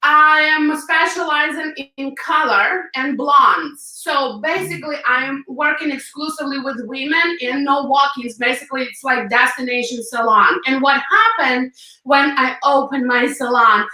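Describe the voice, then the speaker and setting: Ukrainian-accented woman guest, high bright voice, echoey, a bit off-mic on a call. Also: moderate pace, distant mic. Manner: fillers and non-native grammar, laughs often. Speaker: guest, hairstylist. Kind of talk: interview answers, telling her story.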